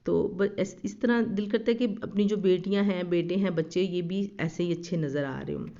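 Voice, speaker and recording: A woman's voice: fairly low pitch, moderate pace, vocal fry, warm tone. A woman narrating a family shopping and dining vlog in a chatty, homely way, with a slightly sore throat and frequent fillers. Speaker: family lifestyle vlogger. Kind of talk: casual vlog voice-over narration. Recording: slightly dull lo-fi recording.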